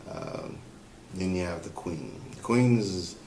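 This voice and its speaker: A man's voice: low-pitched, wildly swooping pitch, slow pace, creaky, a little mellow. A man hosting a solo talk show, giving relationship advice off the cuff and thinking aloud with frequent fillers. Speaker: podcast host. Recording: echoey room with faint ambient noise.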